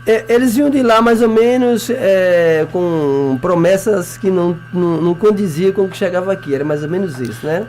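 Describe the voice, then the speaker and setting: A man's voice: sits high, normal speed, few pauses, a little mellow, soft background music. A man hosting a radio interview, prompting his guest and finishing his sentences. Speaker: radio host.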